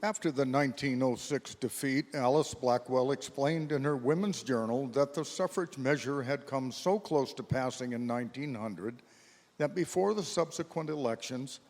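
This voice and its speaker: A man's voice: medium pitch, moderate pace, a little mellow. Formal male narrator in a reverberant hall. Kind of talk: scripted readers theater narration.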